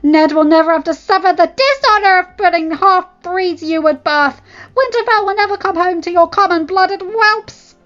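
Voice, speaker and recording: A woman's voice: high voice, fairly even intonation, measured pace, lo-fi sound, voice pushed up. A woman voicing a furious, scornful middle-aged noble lady who hurls insults at a rival. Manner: shrieking insults, venomous.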